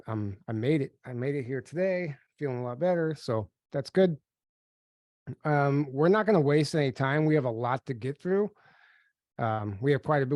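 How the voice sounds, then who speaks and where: A man hosting a webinar in a casual, upbeat way, still a bit nasal from a cold. His voice sits at an ordinary pitch, and it is recorded close and dry, with no room sound. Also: darkish tone, no room sound. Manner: upbeat, chatty, reads slides aloud. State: getting over a cold, a little nasal. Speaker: podcast co-host.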